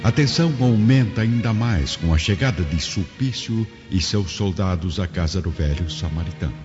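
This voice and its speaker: A man narrating a radio drama from a script in a grave, literary, deliberate manner. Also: low-pitched, lively intonation, a little mellow. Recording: dull lo-fi audio, music behind.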